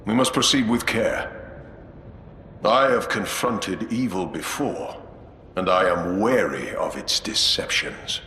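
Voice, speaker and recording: Grave, wary game space marine veteran, slow and formal, forceful edge, echoey. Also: male voice, low voice, a little hiss or hum, heavily acted. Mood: solemn, wary. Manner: measured, solemn, formal. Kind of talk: dramatic game cutscene acting.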